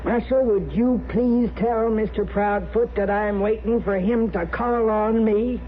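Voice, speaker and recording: An actress playing a formidable widow in a 1950s radio western, deliberate and theatrical. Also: female voice, medium pitch, lightly acted. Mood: cool, threatening. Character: formidable widow, coy then coolly menacing. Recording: tinny, noisy old radio recording.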